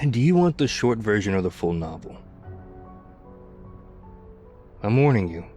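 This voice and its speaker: Man playing a low-voiced, weary apocalypse survivor. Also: big pitch swings, brisk, darkish tone, faint music behind. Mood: guarded. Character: weary, loyal, tough zombie apocalypse survivor. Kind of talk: intimate scripted ASMR roleplay.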